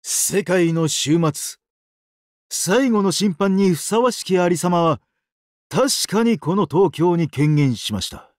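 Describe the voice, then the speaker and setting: Weepy cruel doomsday angel in a game, super polite and theatrical, highish voice, very dry close sound. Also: male voice, lively intonation, slow, dry recording. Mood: solemn, courteous. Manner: exaggeratedly polite, dramatic, swinging between sorrow and glee. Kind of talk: Japanese mobile game character acting.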